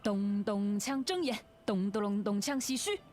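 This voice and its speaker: A woman voicing a spirited, boastful young boy escort and lion dancer in a video game, with an energetic, rhythmic delivery. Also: medium pitch, moderate pace, soft background music, natural voice. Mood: playful, confident.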